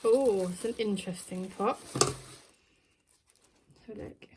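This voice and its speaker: A woman's voice: mid-range voice, unhurried, warm tone, slight background noise. A woman chatting casually while unboxing a plant subscription box, thinking aloud with plenty of ums. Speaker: plant vlogger.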